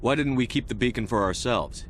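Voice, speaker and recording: An actor playing a disciplined, no-nonsense military commander in a video game, terse and firm. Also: male voice, mid-range voice, fairly quick, a little hiss or hum, natural voice. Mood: matter-of-fact. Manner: terse, firm, matter-of-fact. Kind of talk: video game cutscene acting.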